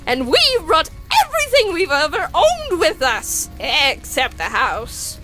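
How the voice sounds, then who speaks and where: A woman voicing an earnest, fussy young male skeleton in a comic dub, loud, with emphatic, formal diction. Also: huge pitch swings, unhurried, full character voice. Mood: indignant, loud. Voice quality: loud, high-pitched. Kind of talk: animated comic dub acting. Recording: music behind the voice.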